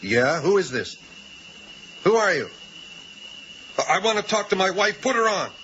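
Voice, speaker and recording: An actor playing an agitated, paranoid psychiatric patient in a 1980s radio drama, halting and accusatory. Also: male voice, high voice, big pitch swings, a bit bright, a little hiss or hum. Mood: tense, rattled. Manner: halting, accusatory, swinging into frantic outbursts. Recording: dull 1980s radio recording, music underneath.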